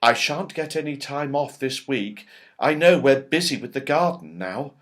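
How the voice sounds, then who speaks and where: A man's voice: medium pitch, animated, moderate pace, close to an everyday voice. A man voicing an eager, adventurous young boy in a children's storybook, keen and matter-of-fact.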